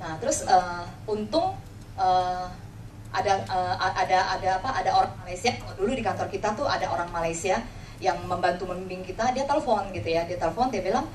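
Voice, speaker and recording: A woman's voice: fairly low pitch, animated, fairly quick, darkish tone. Indonesian woman w jakarta accent giving a chatty motivational talk, roomy echo, mic kinda far away. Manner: conversational, acts out quoted dialogue, frequent tag words seeking agreement. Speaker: insurance agency leader giving a talk. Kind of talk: informal motivational talk with personal anecdotes. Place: indoor room before an audience. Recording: echoey room, distant mic, noisy background.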